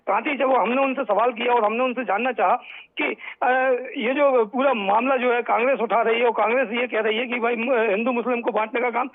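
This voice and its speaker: Over a phone line, a man gives a halting, repetitive live news report as a correspondent. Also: very high voice, steady tone. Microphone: phone call. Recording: tinny, narrow phone line.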